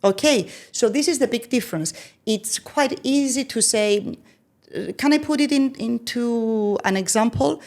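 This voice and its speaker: A woman's voice: on the high side, unhurried, creaky, darkish tone. A woman speaking as a podcast guest who explains ideas step by step and often checks in with "right?". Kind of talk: explanatory conversation.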